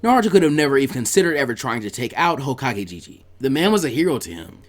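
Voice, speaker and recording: A man reading fan fiction aloud as an expressive story narrator. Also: medium pitch, fast.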